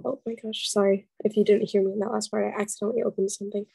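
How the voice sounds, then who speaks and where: A woman's voice: medium pitch, flat delivery, racing, vocal fry, dark tone, breathy edge. A young woman in college telling a rambling story on a video call, with many "like"s. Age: young adult. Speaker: college student participant. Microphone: headphones and laptop webcam on a video call.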